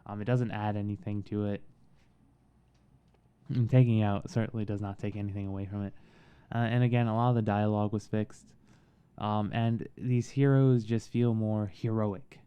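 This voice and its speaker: A man giving a casual, halting film review in a soothing voice, with frequent pauses and restarts. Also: fairly low pitch, fairly even intonation, dark tone, clean tone, dull audio. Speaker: movie reviewer.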